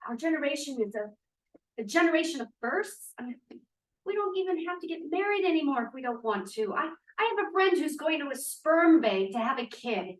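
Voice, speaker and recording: A woman's voice: high-pitched, big pitch swings, a little fast. Woman acting a defiant young feminist, roomy recording. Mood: excited, idealistic. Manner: emphatic, argumentative, theatrical. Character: defiant twenty-two-year-old drama student, free-spirited feminist. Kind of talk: dramatic table read of a play. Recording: roomy, echoey, distant microphone.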